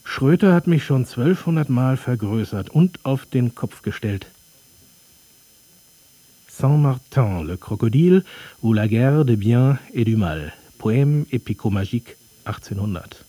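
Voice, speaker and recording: An actor playing a brooding, sardonic narrator in a literary radio play, speaking in terse, dry, deliberate fragments. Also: male voice, mid-range voice, animated, dark tone, no room sound. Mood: wry, observing.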